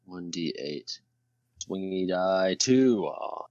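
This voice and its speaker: A man with a deep voice takes part in a tabletop game as a player. He talks casually, as if chatting round the table. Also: animated, slow pace, a little mellow, muffled recording.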